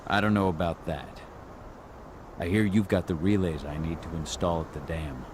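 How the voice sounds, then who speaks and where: An actor playing a gruff, terse and dutiful exiled fighter in a video game. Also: male voice, sits low, fairly even intonation, normal speed, noisy background, lightly acted. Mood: businesslike. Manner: terse, stoic. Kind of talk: video game cutscene acting.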